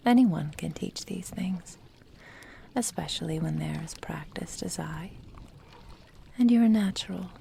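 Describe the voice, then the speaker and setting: A woman plays an ageless witch who dotes possessively on her apprentice in an ASMR roleplay. Her whispery voice is soft and dark, with a heavy creak underneath. She speaks tenderly and intimately, over faint background noise. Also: fairly low pitch. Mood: adoring. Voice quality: breathy, whispery. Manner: slow, tender, intimate delivery. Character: doting, possessive immortal witch mentoring her beloved apprentice.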